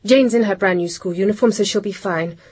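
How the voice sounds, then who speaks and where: An actress playing a brisk, self-possessed 1960s British housewife and mother in a radio drama. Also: mid-range voice, darkish tone, breathy edge, lightly acted. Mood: calm resolve. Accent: British English.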